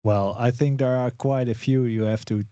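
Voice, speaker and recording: A man from the Netherlands, a guest on an online panel, talking in a relaxed way with lots of fillers. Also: medium pitch, moderate pace, no room sound, highs cut off. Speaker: Microsoft 365 specialist guest. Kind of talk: casual online panel talk.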